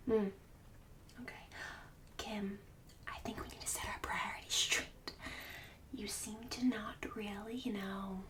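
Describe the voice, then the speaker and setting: A woman playing a snobbish, bossy book-club mom in an ASMR roleplay, mostly in a breathy whisper with fussy, passive-aggressive condescension. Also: fairly high pitch, recorded from a distance. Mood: condescending, scolding. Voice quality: breathy whisper and soft speech.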